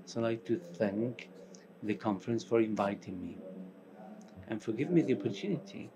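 A middle-aged man with a Portuguese accent, mixed with some Spanish, giving a conference talk as a company co-founder. He speaks in short, halting phrases with pauses. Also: on the low side, animated, a crowd in the background. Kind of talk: conference presentation. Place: large conference hall stage, indoors. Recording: conference hall with audience noise.

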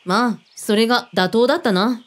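Casting: covert team's commanding boss played by a woman, fairly high voice, brusque, casual, audio drama. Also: moderate pace, natural voice. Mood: probing, wry. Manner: casual, blunt masculine phrasing, probing.

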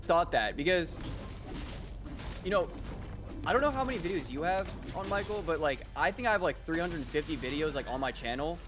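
A man's voice: on the high side, animated, brisk. A man who makes videos, talking casually during a game, chatty and jokingly boastful. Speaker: guest content creator. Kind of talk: casual chat while gaming. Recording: noisy mic with background hiss.

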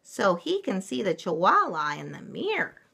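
Woman doing teasing, high little kitten sisters. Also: wildly swooping pitch, moderate pace, gravelly, pushed and bright, breathy edge, exaggerated voice. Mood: tattling.

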